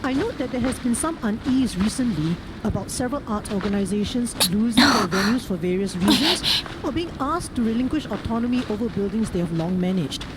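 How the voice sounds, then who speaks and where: A woman's voice: fairly high pitch, expressive, brisk, very noisy. A woman with a Singaporean accent reading a formal prepared speech as a member of parliament. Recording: game music over parliamentary speech audio. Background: loud music from a video game.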